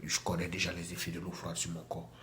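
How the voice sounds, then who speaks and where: Low, flat-voiced man with a cold. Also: fast talker, slight background noise. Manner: chatty, repeats himself, many restarts. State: has a cold. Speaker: vlogger. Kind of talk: casual vlog to camera. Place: small bathroom at home. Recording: echoey room with faint ambience.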